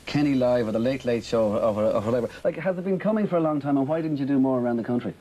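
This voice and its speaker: A man hosting a TV interview, asking brisk, chatty and probing questions. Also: mid-range voice, dark tone, a little hiss or hum. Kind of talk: casual TV interview.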